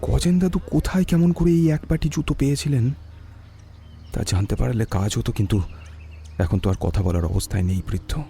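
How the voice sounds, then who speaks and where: A man playing a young detective in an audio drama, thoughtful, polite and inquisitive, reasoning aloud in a measured way. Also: mid-range voice, creaky, dark tone, a little hiss or hum, lightly acted. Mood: pensive.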